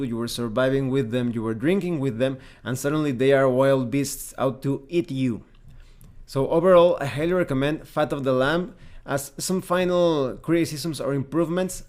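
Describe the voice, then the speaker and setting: A man speaks as a reviewer hosting his own channel. His delivery is measured and explanatory, laying out points in semi-scripted commentary with emphatic wording. His voice sits at a middle pitch. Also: a little mellow. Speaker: tabletop game reviewer and channel host.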